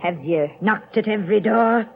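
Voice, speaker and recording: An actress playing a kindly, concerned woman, questioning gently in an old radio drama. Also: mid-range voice, normal speed, vocal fry, lightly acted. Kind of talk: 1930s radio drama acting. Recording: noisy old 1930s radio broadcast.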